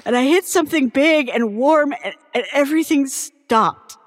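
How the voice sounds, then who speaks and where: An actress playing a traumatized survivor in an audio horror drama, telling a nightmarish ordeal in halting, broken phrases. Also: female voice, sits high, very noisy, natural voice. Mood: shaken, anguished. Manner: halting, haunted, breaking off and repeating words. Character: traumatized woman survivor recounting a nightmarish attack by neighbors. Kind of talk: audio horror drama acting, testimony monologue.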